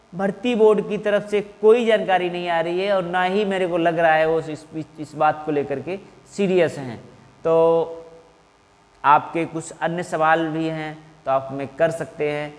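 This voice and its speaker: A man, making a measured, formal appeal to camera as an exam coaching teacher. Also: sits high. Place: small indoor classroom in front of whiteboard. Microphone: clip-on lapel mic. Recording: reverberant, hard-walled room.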